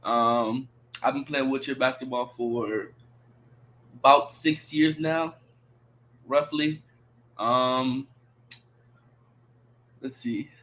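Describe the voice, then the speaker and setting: A man's voice: mid-range voice, steady tone, drawn-out words, long pauses, sharp tone. A man in his twenties from the United States, casually introducing himself as an athlete guest. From New York and Texas, United States. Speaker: college wheelchair basketball player, guest.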